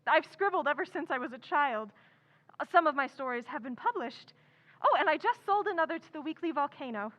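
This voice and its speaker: A woman's voice: high-pitched, lively intonation, brisk, fairly bright tone, lo-fi sound. A woman playing an eager, talkative young woman writer in old-time radio drama style, with earnest enthusiasm. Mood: eager, proud.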